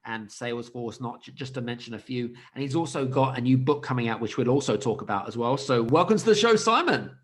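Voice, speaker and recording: A man hosting a business podcast, introducing his guest with lively emphasis, loud greetings and halting restarts. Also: mid-range voice. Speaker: podcast host. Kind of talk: enthusiastic podcast interview.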